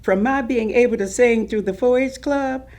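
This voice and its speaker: An actress playing an elderly Southern woman recalling her life in an expressive, emphatic staged reading of oral history. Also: on the high side, normal speed, close to an everyday voice. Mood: proud, animated.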